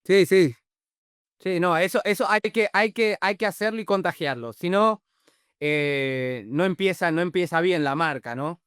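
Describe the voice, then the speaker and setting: Guy hosting a chat, high smooth voice, rambly, bits of portuguese creep in, dry sound. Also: measured pace, a bit bright, no echo. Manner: rambling, mixes in Portuguese words. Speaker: podcast host. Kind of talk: casual interview chat.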